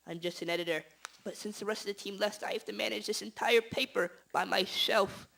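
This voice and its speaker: A young man playing a desperate newspaper editor turned crook in an amateur radio drama, halting and melodramatic. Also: high voice, vocal fry, a bit bright. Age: teenager or young adult.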